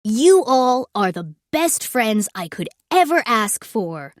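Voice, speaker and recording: A sniffly young storybook fellow, acted out by a woman reading aloud. He is high-voiced and exclaims with big swings in pitch, easily dismayed and prone to sneezing. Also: very animated, slow, dry recording, clean studio sound. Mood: grateful. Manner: exclaiming, wailing, with bursts of sneezing.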